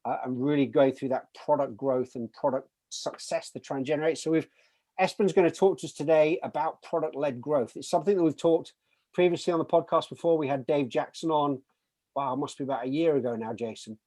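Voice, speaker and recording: A man's voice: fairly high pitch, fairly quick, a little mellow. A man hosting a podcast in an effusive, conversational and enthusiastic way. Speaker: podcast host.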